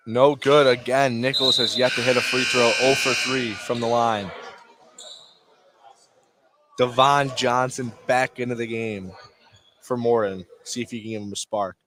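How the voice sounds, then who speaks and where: Man calling basketball over gym noise. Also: mid-range voice. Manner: clipped phrases calling action as it unfolds. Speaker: play-by-play sports commentator. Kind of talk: live high school basketball radio commentary. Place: indoor basketball gym, courtside.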